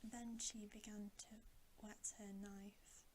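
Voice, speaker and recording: A woman reading a bedtime story in a hushed, breathy, deliberate ASMR whisper, close to the microphone. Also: mid-range voice. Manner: hushed, unhurried, softly deliberate. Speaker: ASMR storybook reader and host. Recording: steady audible hiss.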